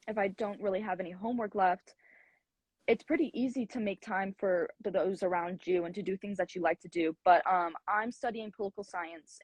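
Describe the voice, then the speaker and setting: A woman's voice: mid-range voice, dry recording, poor audio. Young American woman around twenty, college athlete guest, chatty with fillers, dry close recording. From United States. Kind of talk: casual interview answers.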